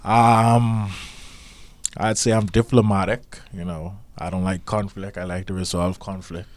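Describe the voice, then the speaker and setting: A young man with a nasal voice co-hosting a podcast, talking in a calm, laid-back way with many pauses. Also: low-pitched. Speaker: podcast co-host. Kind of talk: casual chat.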